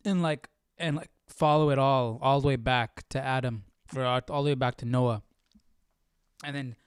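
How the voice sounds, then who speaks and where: A man's voice: mid-range voice, clean tone, no room sound, clean studio sound. A man hosting a livestream, chatting casually and thinking aloud in a rambling way. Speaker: livestream host of a Bible study series.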